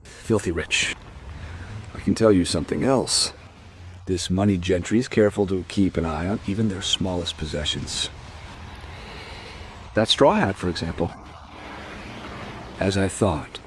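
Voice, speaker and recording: Low-voiced probing police inspector. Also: male voice, measured pace, long pauses, a little hiss or hum. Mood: dry, probing. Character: male detective inspector, probing, dry, world-weary. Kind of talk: crime radio play acting.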